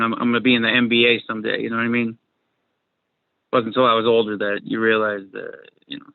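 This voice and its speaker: A man's voice: mid-range voice, no echo. A young man from Massachusetts with a Massachusetts accent, chatting casually as a guest over a video call, rambling and repeating his words. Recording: dry tinny video call audio.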